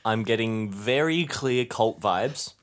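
Wary voice of reason in a tabletop adventure, played by a man, hesitant and dry, with lively pitch. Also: takes it slowly. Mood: polite, uneasy. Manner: hesitant, polite, dryly skeptical. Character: wary, sensible, dryly exasperated male captive adventurer.

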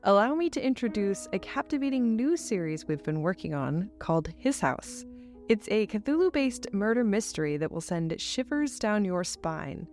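A woman delivers a scripted promotional announcement as host. She is polished and effusive in a medium-pitched voice, with faint music underneath. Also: darkish tone. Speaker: host and voice actor.

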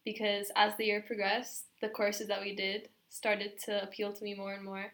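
A young woman from Romania, a university student guest, speaking in a measured, reflective way. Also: medium pitch, completely flat delivery, a bit bright. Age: young adult. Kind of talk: casual chat.

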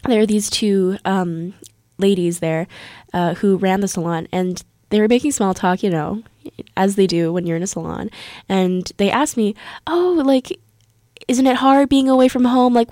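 A woman's voice: mid-range voice, warm tone, no echo, studio quality. A young American woman hosting a podcast, telling a story in a chatty, casual way, full of fillers and restarts. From United States. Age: young adult. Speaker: podcast host. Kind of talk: casual bilingual monologue, Korean then English.

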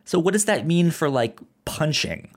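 A man co-hosting a casual film discussion podcast, speaking haltingly and often stammering or repeating words. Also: on the high side, very animated, breathy edge. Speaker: podcast co-host discussing films.

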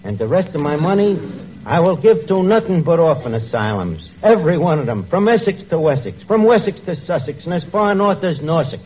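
An actor playing a gruff, uneducated tavern keeper in a 1940s radio comedy, with slangy, ungrammatical speech. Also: male voice, fairly high pitch, big pitch swings, brisk. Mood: repentant, grandiose. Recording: muffled old radio recording, noise, studio audience.

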